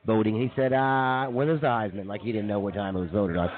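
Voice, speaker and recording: Fast-talking man on a comedy show. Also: fairly low pitch, steady tone, narrow, tinny audio. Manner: halting, informal, chatty delivery. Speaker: comedy radio show host reading news stories. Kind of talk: casual live comedy news banter.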